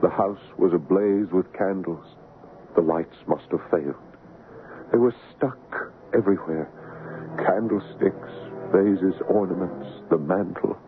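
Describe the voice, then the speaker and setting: A man's voice: low-pitched, a little slow, faint music behind. An American man acting as a distraught husband telling of supernatural horror in a dramatic, urgent 1970s radio drama style. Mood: grave. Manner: dramatic, urgent, stammering in panic. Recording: narrow, noisy 1970s AM radio recording.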